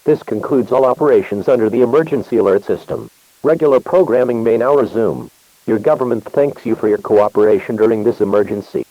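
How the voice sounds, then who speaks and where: A man's voice: on the low side, expressive, fairly quick, no room sound, muffled recording. A man reading a scripted emergency broadcast announcement in a formal, impersonal manner.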